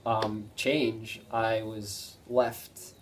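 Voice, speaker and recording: A Dutch man in his thirties answering interview questions in a relaxed, hesitant way, with many ums and self-deprecating laughs. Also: fairly low pitch, very slow, recorded from a distance, a little hiss or hum. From Netherlands. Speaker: interview guest. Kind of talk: casual interview chat.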